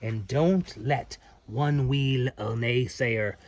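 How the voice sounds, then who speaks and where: A man's voice: medium pitch, slow pace. A man reading aloud to children as their dad, with playful, folksy, chatty asides.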